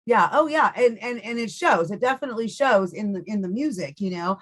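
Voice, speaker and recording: A woman's voice: mid-range voice, expressive, a little fast. Female radio interviewer, conversational and loose, rambles informally between points. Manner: informal, rambling, frequent "you know". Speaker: radio show host, interviewer.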